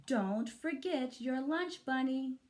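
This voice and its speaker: A woman's voice: high-pitched, animated, takes it slowly, darkish tone, voice pushed up. A woman voicing a silly, cheerful female schoolteacher from a storybook, calling out singsong announcements to her class. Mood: cheerful announcing.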